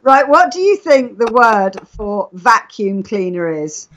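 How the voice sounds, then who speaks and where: A woman's voice: fairly high pitch, sing-song, a little slow. A woman chatting casually on a live stream, telling stories and explaining things in a chatty way. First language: English. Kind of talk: casual live chat, storytelling. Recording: dull lo-fi internet chat audio.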